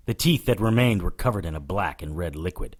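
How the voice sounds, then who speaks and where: A man's voice: sits low, animated, brisk, noisy background. A man playing a determined, hard-boiled witch hunter, narrating an audio drama in the first person. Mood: tense, narrating. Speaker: lead character and narrator.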